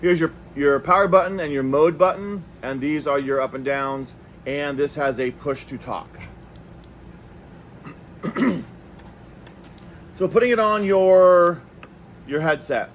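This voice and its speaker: Man giving halting gear demo, faint noise. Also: on the high side. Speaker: product reviewer. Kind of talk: casual hands-on product demonstration.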